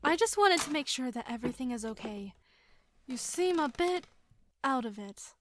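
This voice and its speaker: An actress playing a doting, gentle middle-aged mother in an audio drama, solicitous and gracious. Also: sits high, lively intonation, moderate pace, a little hiss or hum. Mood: concerned, soothing.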